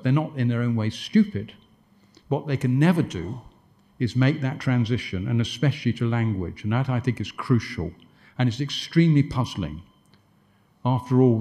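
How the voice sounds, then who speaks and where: A man's voice: mid-range voice, warm tone. Wry man lecturing at a conference. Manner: discursive, stumbling restarts, dry wit. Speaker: guest lecturer answering questions. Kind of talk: conference Q&A answers.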